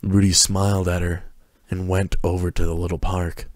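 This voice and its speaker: Low-voiced man narrating a story. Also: flat delivery, moderate pace, dry recording, clean studio sound. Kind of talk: dramatic reading of prose fiction.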